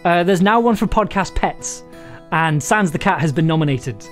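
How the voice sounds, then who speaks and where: A live streamer and audio drama writer chatting casually with viewers and reading writing prompts aloud, full of fillers and restarts and quick to laugh. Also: medium pitch, lively intonation, soft background music. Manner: hesitant, many fillers and restarts, laughs easily.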